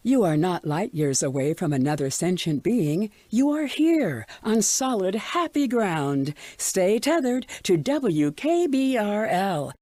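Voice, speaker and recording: An actor playing a serene but eerily cheerful radio DJ with a deliberate, reassuring announcer delivery. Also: mid-range voice, very animated, mellow, no echo, clean studio sound. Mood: uncanny calm. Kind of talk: scripted fictional radio station patter.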